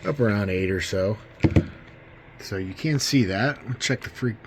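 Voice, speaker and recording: A man's voice: on the low side, a little slow, very noisy. A middle-aged man casually demonstrating gear as a hobbyist video host, in a folksy, enthusiastic and informal manner.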